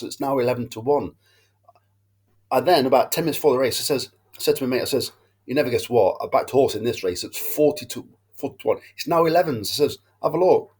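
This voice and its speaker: A man's voice: mid-range voice, a little mellow, breathy edge. A man, stuffed up with a cold, rambling through his horse racing tips in a casual monologue and often correcting himself. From England. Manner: rambling, self-correcting, loses his thread. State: bunged up with a cold and hangover. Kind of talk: casual tipping monologue to camera.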